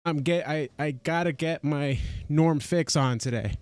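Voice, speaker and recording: A man whose first language is Spanish talks in a chatty, upbeat way, correcting himself as he goes. His voice sits a bit high and sounds smooth and clear. The sound is close and dry, with no room echo. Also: a little slow. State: walking on a treadmill. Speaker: reaction video host. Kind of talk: casual reaction vlog chat.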